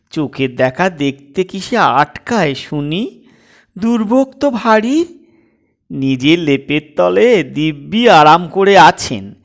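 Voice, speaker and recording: A male reader plays a sly young daughter-in-law in a comic story, pitched high. She is coy and coaxing, hesitating over her words and drawing them out slowly. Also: full character voice. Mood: teasing, complaining.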